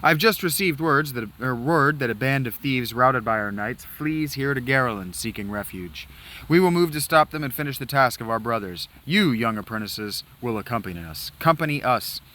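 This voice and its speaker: A man playing a booming knight commander. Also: lively intonation, normal speed, pushed and bright. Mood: commanding. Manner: booming, formal and declamatory. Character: stern male knight commander addressing apprentices.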